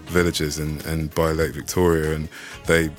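A very low-voiced man, guest on a casual interview, thinking aloud as he talks. He grew up in Austria and reaches back for his memories with halting, restarting phrases. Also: level tone, soft background music. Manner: reflective, halting, many restarts.

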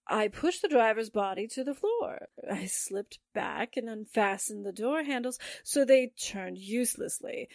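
Vengeful, volatile murderess played by a woman for period radio drama; higher voice, unhurried, theatrical delivery. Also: no room sound, clean studio sound. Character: unhinged, vengeful murderess, a married woman feigning insanity. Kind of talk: 1940s radio drama acting.

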